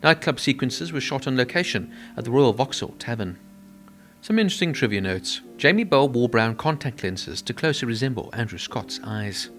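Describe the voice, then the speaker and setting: A man narrating a scripted film review and trivia, in an even, measured delivery. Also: medium pitch, soft background music.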